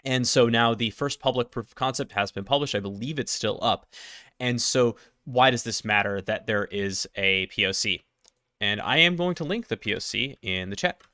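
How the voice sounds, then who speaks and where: A man's voice: mid-range voice, moderate pace, bright, forceful tone, no room sound. A man hosting a casual tech news livestream, sniffly with a cold, chatty and laughing between points on a close mic. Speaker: livestream host.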